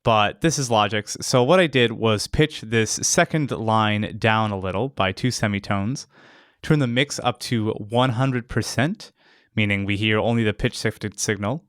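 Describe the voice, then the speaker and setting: A man's voice: fairly low pitch, a little slow, a bit bright, clean tone, no echo, studio quality. A man hosting a casual instructional video, explaining step by step as a sound designer. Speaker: tutorial host, sound designer. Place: close, dry voice-over recording.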